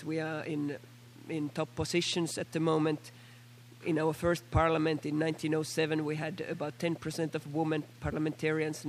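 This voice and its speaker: A Finnish-accented woman, hoarse from a flu, giving a halting formal statement as a member of parliament at a panel meeting. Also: sits low, darkish tone, a little hiss or hum. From Finland. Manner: halting, with many fillers and restarts.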